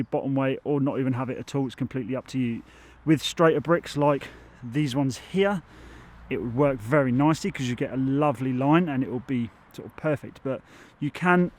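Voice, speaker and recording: A man with a sore throat, chatting casually while he teaches bricklaying on site. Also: on the high side. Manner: chatty, rambling, self-admittedly waffles. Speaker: bricklayer teaching on his channel. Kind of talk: casual how-to tutorial.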